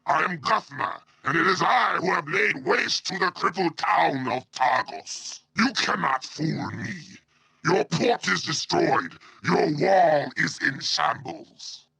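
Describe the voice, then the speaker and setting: A brutal monster warlord from a fantasy game, growling in a heavily gravelled, sharp-edged voice. He draws out his boastful threats slowly and with contempt, in a very dry, close recording. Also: fairly high pitch, animated, no echo, full character voice, poor audio. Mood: contemptuous threat. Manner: menacing, contemptuous, slow, drawn-out threats. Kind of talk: video game villain voice acting.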